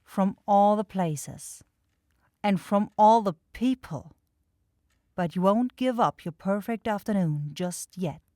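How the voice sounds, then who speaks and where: A woman's voice: medium pitch, takes it slowly, mellow, dry recording. A woman reading a scripted story as the narrator of an ASMR roleplay, close to the microphone.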